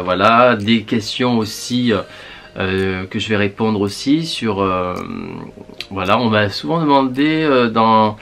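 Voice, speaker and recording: A man's voice: lowish voice, a bit bright. Southern French man, hesitant chatty vlogger. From southern France. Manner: hesitant, many fillers and restarts. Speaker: beauty vlogger. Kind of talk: casual chat to camera.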